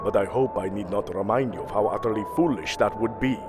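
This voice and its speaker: A man's voice: fairly low pitch, fast talker, over loud music, close to an everyday voice. A man playing a proud, commanding king of the gods in an audio drama, with a regal, formal and imperious manner. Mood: cold, threatening.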